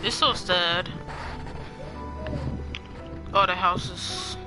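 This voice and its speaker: A man's voice: high voice, very slow. A young man giving casual, joking commentary while live-streaming a game, often repeating phrases in a sing-song way. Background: game music.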